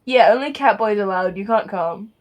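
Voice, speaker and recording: A woman's voice: medium pitch, moderate pace. A young woman casually joking along as a friend's gameplay commentator, goofy and teasing. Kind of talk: casual chat. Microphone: online voice call.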